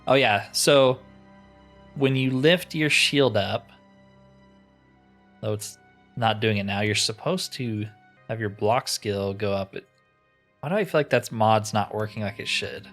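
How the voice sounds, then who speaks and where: A man's voice: lowish voice, a little slow, pauses often, a bit bright. Casual man doing game commentary over music. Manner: thinks aloud, chatty, informal. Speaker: gaming channel host. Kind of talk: casual let's play commentary.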